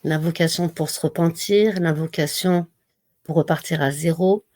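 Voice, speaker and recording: Mellow, low-voiced woman reciting slowly. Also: no echo. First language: not Arabic. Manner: slow, measured recitation with pauses. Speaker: religious reminder speaker. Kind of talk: slow devotional reading and reminder.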